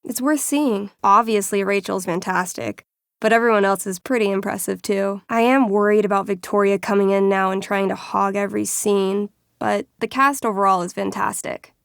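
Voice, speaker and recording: A woman voicing a friendly, theatrical teenage girl who runs a tabletop fantasy game, expressive and wry, in a video game. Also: medium pitch, normal speed, vocal fry, smooth, no echo, clean studio sound, close to an everyday voice. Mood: casual, wry. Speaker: game master. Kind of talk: dramatic tabletop storytelling and casual chat.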